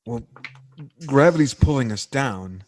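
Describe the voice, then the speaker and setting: A man's voice: medium pitch, animated, measured pace, close to an everyday voice. A man playing a trapped, resigned character in a 1940s-style radio drama, speaking in terse, hesitant, brooding phrases. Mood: dazed, brooding.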